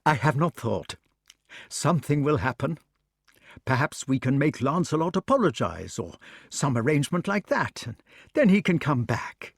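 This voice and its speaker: A man's voice: on the high side, sing-song, moderate pace, vocal fry, slightly rough, no echo, studio quality, pitched above the performer's own voice, exaggerated voice. A man voicing an older king, earnest and kindly, with a worried edge. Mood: hopeful.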